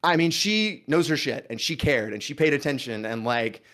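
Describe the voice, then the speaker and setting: A man in casual conversation, talking earnestly and haltingly, often repeating himself as he goes. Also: medium pitch, expressive, a bit bright. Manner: earnest, halting, hedging with repetitions. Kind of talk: casual chat.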